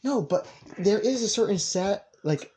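A man's voice: high-pitched, expressive, a little slow, mellow. A young man in casual group conversation, speaking in an emphatic, opinionated way.

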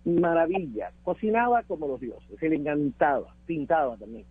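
A man's voice: a little high, animated, normal speed, breathy edge, phone-quality sound. A man with a Cuban accent reminiscing as an interview guest, emphatic and repeating words for effect. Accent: Cuban Spanish. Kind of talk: reminiscing conversation.

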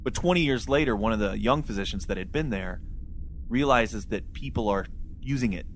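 A man's voice: fairly low pitch, lively intonation, brisk, slight background noise, low-quality recording. A man co-hosting a podcast, casually retelling a story in a wry, joking way. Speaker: podcast co-host. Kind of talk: casual, humorous discussion of a horror story.

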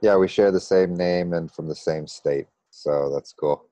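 A middle-aged man with an American accent, telling his personal story conversationally with a halting, pause-filled delivery. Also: sits low, warm tone, dry recording. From United States. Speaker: interview guest, meditation coach.

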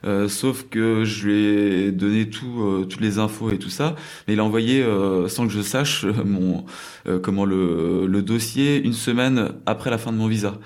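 A man's voice: fairly low pitch, moderate pace, darkish tone. A French man telling stories casually in an interview, rambling, with many fillers and restarts. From France. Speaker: crêperie owner in South Korea.

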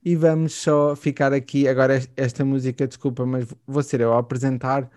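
A man's voice: mid-range voice, little pitch movement, brisk, a little mellow, dry recording, studio quality. A man with a European Portuguese accent hosting a radio interview, playful and joking. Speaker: radio show host. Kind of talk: casual radio interview chat.

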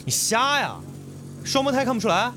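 A man's voice: extremely high pitch, very animated, moderate pace, over music, full character voice. A male actor playing a curt, sarcastic teenage schoolboy in an audio drama, tossing out terse insults. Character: curt, scornful, cool teenage schoolboy twin. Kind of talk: Chinese audio drama acting.

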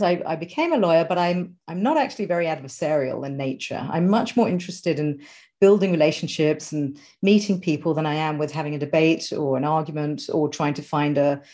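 British woman in her 40s, low voice, talks it through slowly and thoughtfully. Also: mellow. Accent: British English. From England. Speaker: guest. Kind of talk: interview conversation.

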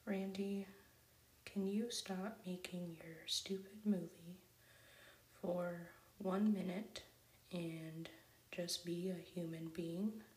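A woman whispers as a fed-up cartoon burger cook, pleading and indignant. The voice stays slow and low, and the room echoes. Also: steady tone, distant mic. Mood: exasperated.

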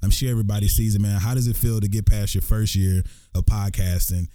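A man hosting a podcast, talking in a relaxed, slangy way. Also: on the low side, fast, clean tone, no echo, studio quality. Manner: relaxed, slangy, laughs easily. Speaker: podcast host. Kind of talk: casual podcast chat.

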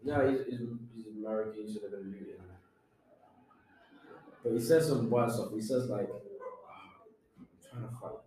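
A man's voice: on the low side, measured pace, long pauses, warm tone. Young man bantering, echoey room. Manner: slangy, teasing. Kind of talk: casual group chat, bantering. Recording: distant, echoey room with faint noise.

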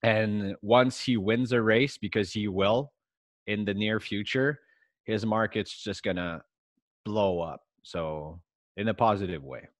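A man's voice: lowish voice, a little slow, no room sound. A man whose first language is French, giving casual market commentary as a guest investor and collector.

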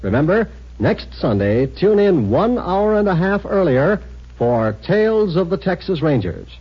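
A man's voice: sits high, big pitch swings, measured pace, breathy edge. A man reading a scripted announcement as an old-time radio announcer. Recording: muffled old radio recording.

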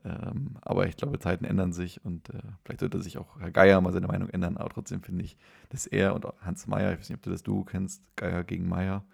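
A man with a low voice full of heavy fry talks casually about football as a podcast co-host. His delivery is fairly monotone and halting, and he corrects himself as he goes. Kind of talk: casual conversational football discussion.

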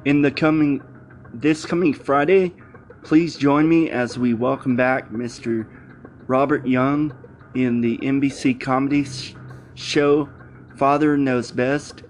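A man's voice: medium pitch, fairly even intonation, takes it slowly, slight background noise. A man hosting a podcast, addressing listeners casually in a scripted outro. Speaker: podcast host.

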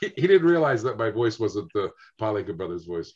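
A man telling lively stories as a guest on a video call, acting out the dialogue as he goes. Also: lowish voice, a little fast, muffled recording. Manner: animated storyteller, acts out dialogue. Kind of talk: casual storytelling chat.

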